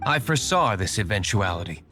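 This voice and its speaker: A stern, reserved tavern owner from a video game. His voice is low but lively in pitch, formal and curt, with soft music behind it. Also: a little fast. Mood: composed. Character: stern, aloof, reserved young male tavern owner vigilante.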